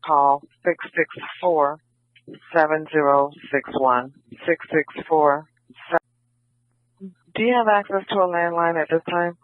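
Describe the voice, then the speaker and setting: A man's voice: fairly high pitch, takes it slowly, no echo. A man working as a police sergeant, giving instructions in radio traffic over a tinny police scanner feed. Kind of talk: police radio dispatch talk.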